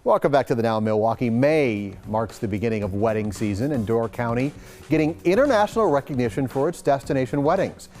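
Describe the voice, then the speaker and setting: A man's voice: mid-range voice, animated, brisk, darkish tone. A man with an American accent reading scripted local news as a TV anchor in a studio.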